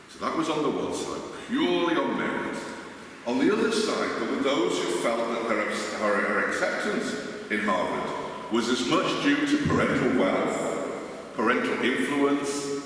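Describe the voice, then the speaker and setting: A man with a Liverpool English accent giving an academic conference lecture, speaking deliberately and emphatically with wry asides. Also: medium pitch, a bit bright. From Liverpool, England. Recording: echoey hall, distant mic, faint noise.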